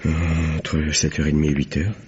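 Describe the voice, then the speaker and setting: Deep-voiced, anxious, stammering husband. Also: male voice, fairly even intonation, fairly quick. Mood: nervous. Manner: stammering, agitated, hesitant. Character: anxious stockbroker husband of 32, suspected of murder. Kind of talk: old French radio mystery acting. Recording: dull, noisy vintage radio recording.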